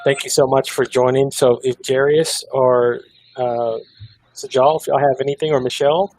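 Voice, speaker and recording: A man's voice: medium pitch, fairly even intonation, moderate pace, a little mellow, poor audio. A man hosting a roundtable discussion, encouraging and conversational. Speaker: host.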